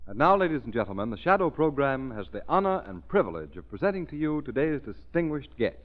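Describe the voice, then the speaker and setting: Brisk, animated male radio announcer. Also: medium pitch, loud music behind the voice. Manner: polished, formal. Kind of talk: scripted 1930s radio announcing. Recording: muffled vintage 1930s radio recording.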